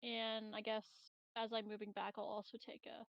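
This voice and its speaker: A woman talks casually as a tabletop game player. Her voice is fairly high with a clear creak, and the audio is dry and slightly dull. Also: monotone, moderate pace, dry recording. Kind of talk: casual chat.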